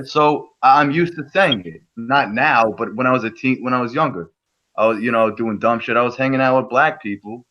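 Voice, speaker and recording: A man's voice: mid-range voice, highs cut off. A man from New York chatting casually on a group livestream call, profane and rambling with lots of restarts. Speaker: livestream guest.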